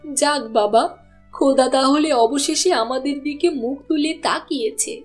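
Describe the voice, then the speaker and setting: A woman plays a caring village wife and mother for a cartoon. Her voice sits well up high, and she speaks in a homely, indulgent way. Faint music runs behind it. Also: moderate pace, natural voice. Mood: curious. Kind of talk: cartoon dialogue voice acting. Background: background music.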